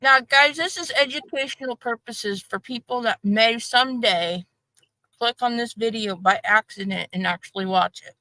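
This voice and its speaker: A woman co-hosting a casual livestream chat, animated and teasing, mimicking people in exaggerated voices. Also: moderate pace, no echo, low-quality recording. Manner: animated, mocks others in exaggerated high voices. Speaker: livestream co-host.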